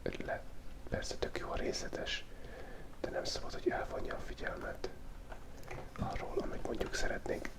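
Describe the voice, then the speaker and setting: An ASMR artist whispering softly while drawing, thinking aloud in a relaxed, playful way. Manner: soft unvoiced whisper throughout.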